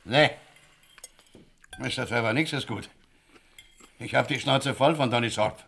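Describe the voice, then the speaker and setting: Surly manservant, radio drama, insolent, defiant, slow drawl. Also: male voice, mid-range voice, creaky, fairly bright tone.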